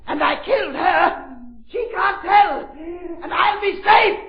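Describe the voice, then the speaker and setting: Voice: extremely high pitch, slow, shouting, a loud crowd, very noisy, heavily acted. An actor playing a crazed, lonely, cackling villain who repeats phrases gleefully in an old radio melodrama. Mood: frenzied triumph. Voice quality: cackling, creaky. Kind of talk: 1940s radio melodrama acting. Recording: muffled, echoey vintage radio recording.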